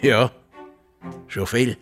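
An actor playing a blunt, terse rural farmer with a Swiss German accent in a radio drama. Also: male voice, medium pitch, fairly even intonation, drawn-out words, a bit bright, soft background music. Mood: matter-of-fact recollection. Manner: terse, plain-spoken, unemotional. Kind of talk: naturalistic radio drama acting.

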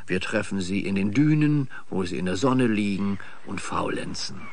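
A man's voice: lowish voice, expressive, normal speed, a little hiss or hum. A man giving scripted narration as the storyteller of a 1970s children's radio play.

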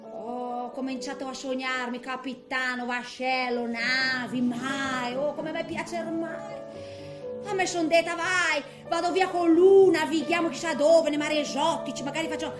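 An actress playing a feisty, bitter, garrulous widow in her eighties in a theatrical comic monologue, grumbling colloquially in with little interjections. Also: sits high. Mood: wistful reminiscing. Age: 80s.

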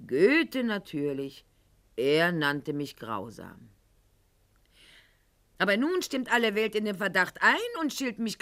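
An actress playing a proud, sharp-tongued aristocratic lady in a radio play monologue, speaking in an articulate, ironic and haughty manner. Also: on the high side. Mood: indignant, proud. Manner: haughty, ironic, articulate, addressing a silent listener.

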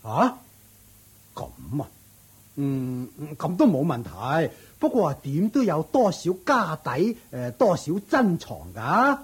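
An actor with a Hong Kong Cantonese accent playing a greedy, blustering, treasure-loving lord in a radio drama. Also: male voice, a little high, wildly swooping pitch, slow, slightly rough, exaggerated voice. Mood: haggling, dismissive. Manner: blustery, full of interjections, haggling.

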